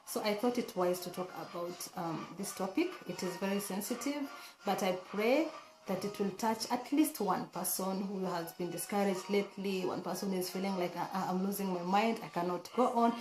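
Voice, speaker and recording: Hoarse woman vlogging in an echoey room. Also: mid-range voice, normal speed, a little mellow. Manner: chatty, earnest, many fillers and restarts. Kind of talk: casual talk to camera.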